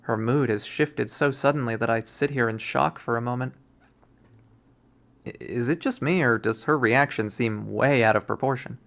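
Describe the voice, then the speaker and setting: A person reading visual novel narration aloud in an expressive storytelling manner. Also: medium pitch, moderate pace, creaky. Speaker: video game reader.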